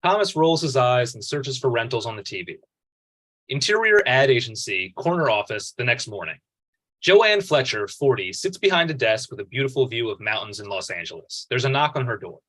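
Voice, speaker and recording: A man's voice: medium pitch, big pitch swings, brisk, a bit bright, low-quality recording. A man reading out screenplay stage directions as scripted narration at a table read.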